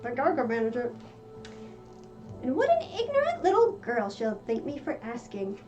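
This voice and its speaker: A woman's voice: fairly high pitch, huge pitch swings, normal speed, dull audio, loud music behind the voice. A woman voicing a curious, chatty, dreamy little girl from a storybook, musing aloud to herself. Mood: self-doubting.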